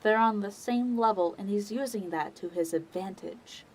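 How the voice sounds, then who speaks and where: A woman giving scripted dramatic narration as the narrator of a story, in a measured, deliberate manner. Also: mid-range voice, background noise.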